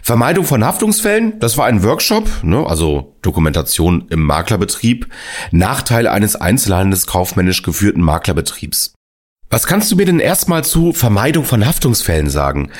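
Deep-voiced man hosting a podcast. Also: big pitch swings, moderate pace. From northern Germany. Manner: friendly, chatty, joking. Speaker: podcast host.

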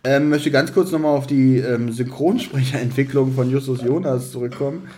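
A man in a casual podcast discussion, halting as he searches for his words. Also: medium pitch, dark tone, a little hiss or hum. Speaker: podcast co-host.

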